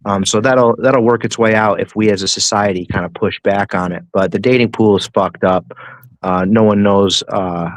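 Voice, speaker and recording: A man with a fairly low voice talks casually on a discussion panel. He is blunt and explanatory, a bit halting, and recorded dry. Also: fairly even intonation, no echo, rough recording. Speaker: call-in panelist. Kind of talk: casual opinionated panel chat.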